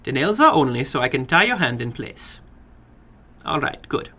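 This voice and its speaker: A man voicing a funny, sinister little foreign gambler in a horror story, brisk and courteous, with precise, stilted non-native phrasing. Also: mid-range voice, sing-song, bright, forceful tone. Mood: precise, commanding. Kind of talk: dramatic horror story reading.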